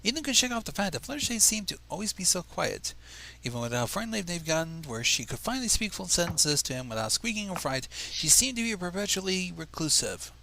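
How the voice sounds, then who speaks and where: A man's voice: high-pitched, sing-song, brisk, creaky, bright, forceful tone, a little hiss or hum, low-quality recording. A man reading fan fiction aloud on a livestream, narrating expressively and dropping into casual asides. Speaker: livestream reader.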